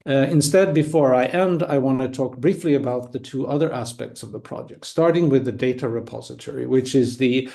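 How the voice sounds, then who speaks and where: A man whose first language is Swedish, giving an academic conference talk online in a measured, explanatory way with small restarts. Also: medium pitch, creaky, mellow. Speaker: conference speaker.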